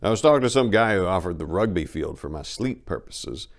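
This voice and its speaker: A man giving a dramatic reading of a first-person road story, narrating with emphatic swings in loudness. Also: low voice, lively intonation, a little fast. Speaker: audiobook narrator.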